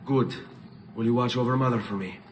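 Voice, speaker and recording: A man's voice: mid-range voice, brisk, slight background noise, natural voice. A man playing a young Florentine nobleman on the run in a video game, protective and earnest, with an Italian accent. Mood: somber, protective. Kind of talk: dramatic video game dialogue. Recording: music playing behind the voice.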